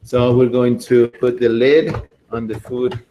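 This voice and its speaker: A man from Argentina, demonstrating a recipe in a casual, enthusiastic way while thinking out loud. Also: medium pitch, flat delivery, a little slow, lo-fi sound. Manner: chatty and enthusiastic, thinking aloud with fillers. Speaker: cooking demonstrator.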